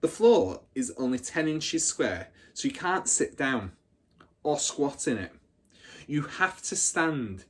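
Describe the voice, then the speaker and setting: A rugged, husky-edged ten-year-old bully girl played by a man in a children's storybook. She is scornful and boastful, and relishes every grisly detail with dramatic emphasis. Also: medium pitch.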